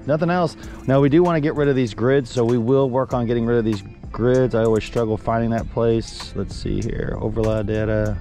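A man's voice: mid-range voice, a little fast, soft background music. Upbeat middle-aged man on a boat. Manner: upbeat, talks straight to viewers, excitable when fish bite. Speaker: fishing guide and bait seller. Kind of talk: casual fishing vlog with sales pitch. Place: outdoors on a boat on a lake. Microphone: action camera on the boat.

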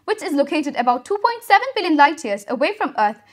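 Fast-reading young woman news anchor. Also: sits high. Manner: brisk, even headline delivery. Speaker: student news reader.

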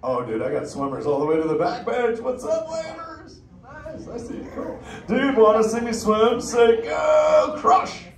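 A man's voice: very high-pitched, very animated, unhurried, exaggerated voice. A man voicing a laid-back surfer-dude male sea turtle in a live interactive audience show, talking in easygoing surfer slang. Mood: stoked. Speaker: live theme park show character. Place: indoor theater with an audience. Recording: echoey theater from far off, crowd noise.

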